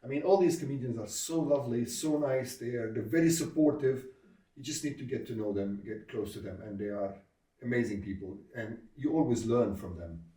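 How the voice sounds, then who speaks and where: A man speaking English as a second language, a comedy club founder telling stories in a casual interview, earnest and pausing often on fillers. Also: mid-range voice, dark tone, distant mic. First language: neither English nor Swedish. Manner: hesitant, many filler pauses, earnest. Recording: echoey room, voice off-mic.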